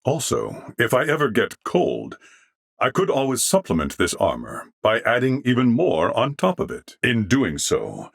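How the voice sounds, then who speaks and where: A man acting as an armored cyborg in a video game, courteous and earnest, with formal, measured, solemn diction. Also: mid-range voice, huge pitch swings, gravelly, breathy edge, no room sound, clean studio sound, exaggerated voice. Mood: dry, courteous.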